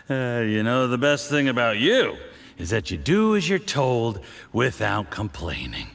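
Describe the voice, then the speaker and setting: An actor playing a scheming, boastful foreign agent in a video game, with jovially condescending, theatrical delivery. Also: male voice, medium pitch, sing-song, slow, creaky, fairly bright tone, exaggerated voice. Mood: smug encouragement. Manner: jovially condescending, theatrical swings between cajoling and menace. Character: scheming, boastful male foreign agent drinking, smugly patronizing. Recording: hall-like reverb.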